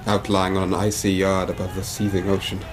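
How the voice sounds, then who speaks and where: An actor playing an earnest, well-spoken English gentleman in a radio drama, formal and measured as he tells his story. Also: sits low, monotone, close to an everyday voice. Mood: reflective. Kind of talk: radio drama acting, literary narration. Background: storm wind ambience.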